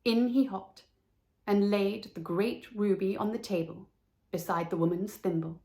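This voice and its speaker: A woman reading a children's story as narrator, measured and expressive. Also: mid-range voice.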